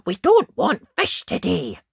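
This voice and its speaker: A woman voicing a small boy knight in a storybook reading, in a high childlike voice. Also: huge pitch swings, measured pace, vocal fry, breathy edge, no echo, clean studio sound, heavily acted. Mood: stubborn. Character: little boy knight, polite turned defiant.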